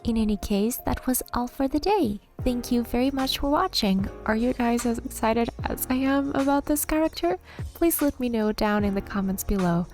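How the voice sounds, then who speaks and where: A woman's voice: a little high, normal speed, a little mellow. A woman hosting a casual commentary video, talking to viewers in an informal, thinking-aloud way. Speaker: video host giving game character analysis. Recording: music playing behind the voice. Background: background music.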